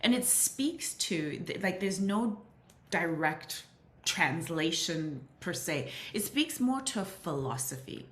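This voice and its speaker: A woman's voice: fairly low pitch, fairly bright tone. Earnest woman with an echoey sound. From Southern Africa. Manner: earnest, with frequent restarts and "you know". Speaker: guest. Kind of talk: reflective interview storytelling. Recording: roomy echo.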